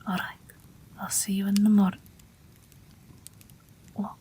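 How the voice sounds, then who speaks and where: A woman playing a gentle, wry, protective night witch in an intimate audio roleplay, speaking softly and breathily, often near a whisper. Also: medium pitch, monotone, slight background noise, natural voice. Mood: tender, protective. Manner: slow, soft, tender reassurance.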